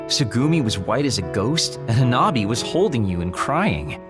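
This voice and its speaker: A man's voice: mid-range voice, very animated, moderate pace, over music, natural voice. An actor playing a worried young male comrade in an anime game dub.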